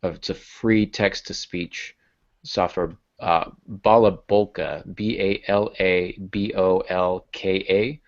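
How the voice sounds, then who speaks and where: Low-voiced American man, dull call audio. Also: fairly even intonation, slow, gravelly, no echo. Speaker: podcast host and novelist. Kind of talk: casual conversational podcast chat. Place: small home room with bookshelf. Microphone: earbud headset on video call.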